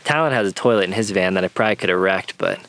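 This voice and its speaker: Low-voiced man chatting casually to camera. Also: expressive, racing, a bit bright. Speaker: camping vlogger. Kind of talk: casual vlog chat to camera. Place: inside a camper van and outdoors at a forest campsite. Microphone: camera held at arm's length.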